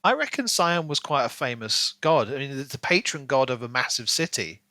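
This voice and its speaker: A man's voice: mid-range voice, fairly quick, no room sound. A man chatting casually and animatedly as a podcast co-host in a book discussion. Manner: animated, acts out imagined lines in playful voices.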